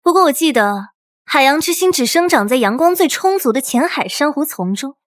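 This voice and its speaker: A woman's voice: high voice, lively intonation, a little fast. A woman playing a bold, brash and protective princess with a teasing manner in a fantasy drama. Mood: mocking. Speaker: voice actor in a fantasy short drama.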